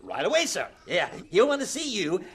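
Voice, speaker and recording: An actor playing an officious, easily flustered groundskeeper in a radio drama, with a husky voice. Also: male voice, sits high, huge pitch swings, normal speed, fairly bright tone, exaggerated voice. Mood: flustered, deferential. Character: officious groundskeeper, suspicious, then flustered and deferential.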